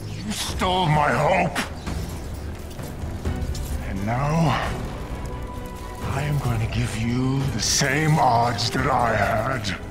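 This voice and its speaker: Slow, wrathful villain scientist. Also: male voice, medium pitch, animated, over music. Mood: anguished rage. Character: bitter, betrayed male mutated scientist villain, grandiose and wrathful. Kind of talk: video game cutscene acting.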